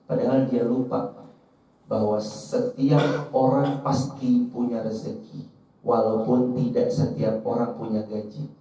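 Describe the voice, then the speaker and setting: Mellow-voiced male preacher in echoey room. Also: medium pitch, level tone, unhurried, a little hiss or hum. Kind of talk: sermon to an audience, quoting and translating Arabic scripture. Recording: roomy echo, distant mic, slightly dull.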